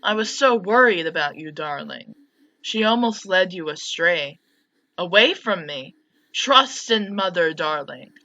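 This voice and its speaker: A woman's voice: on the low side, expressive, unhurried, pushed and bright, dull audio, exaggerated voice. A woman voicing a possessive, manipulative mother from a visual novel in a controlled, cloying manner. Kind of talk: visual novel dialogue read aloud.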